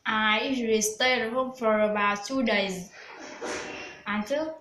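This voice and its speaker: A woman's voice: fairly high pitch, fairly even intonation, a little slow, a bit bright, roomy sound, a little hiss or hum, low-quality recording. A woman, a student reciting practice answers in English with care. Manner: plain, careful recited answers. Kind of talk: scripted English speaking practice.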